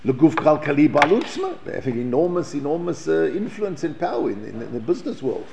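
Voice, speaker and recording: A man's voice: a little high, big pitch swings, quick pace, gravelly, noisy background. A man from South Africa teaching a Torah class, reading Hebrew passages and explaining them in English, with frequent restarts and repeated words. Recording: lo-fi, dull recording.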